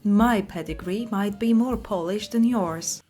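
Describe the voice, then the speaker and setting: A woman's voice: medium pitch, a little slow, loud music behind the voice. A woman reading viewer comments aloud and adding dry, sarcastic asides.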